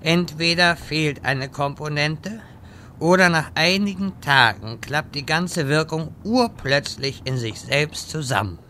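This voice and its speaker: A man playing a wise, exasperated old wizard in a fantasy parody radio play, speaking deliberately and dryly. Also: a little high, sharp tone, slight background noise. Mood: measured, dry. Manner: slow, deliberate, dry.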